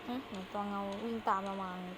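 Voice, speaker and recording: Casual gamer commentary over clear background noise. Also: female voice, mid-range voice, steady tone, fast. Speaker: gaming video host. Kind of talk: casual game playthrough commentary. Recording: clearly noisy home recording.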